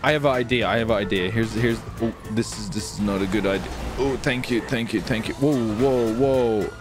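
A man's voice: lowish voice, brisk, music in the background. A man doing casual, cocky and jokey roleplay chat while playing a video game. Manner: excitable, cocky, jokey, swearing. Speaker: streamer and roleplayer.

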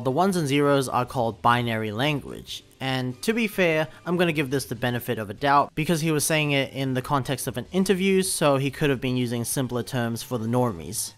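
A young man narrating a video review of a film as a voice-over, talking in an animated, incredulous way with little laughing asides. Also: mid-range voice. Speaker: YouTube commentator. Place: voice-over over gameplay footage.

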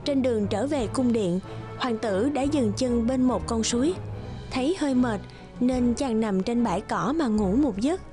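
A woman's voice: fairly high pitch, expressive, a little slow. Woman narrating a fairy tale over music.

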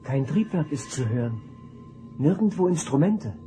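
Precise android officer, highish voice. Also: male voice, very animated, normal speed, dark tone, soft background music. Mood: detached. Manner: precise, formal, unemotional. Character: detached, analytical, polite male android officer. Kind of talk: radio drama acting.

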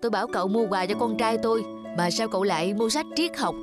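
A woman's voice: medium pitch, lively intonation, fast, close to an everyday voice. A woman voicing a busy businessman father who talks curtly and impatiently in a cartoon, over background music. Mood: angry. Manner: curt, impatient, bossy. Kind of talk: cartoon voice acting.

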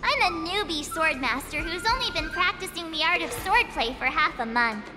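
A woman playing a cheerful, girlish novice swordswoman in an anime-style video game, perky and expressive. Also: very high-pitched, normal speed. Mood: perky, confident. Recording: long reverb, music behind. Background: music.

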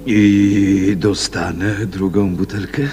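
An actor in a radio drama playing a broken, homeless drunk who rambles and craves whisky. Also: male voice, low voice, level tone, slow, background noise. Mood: irritable, craving.

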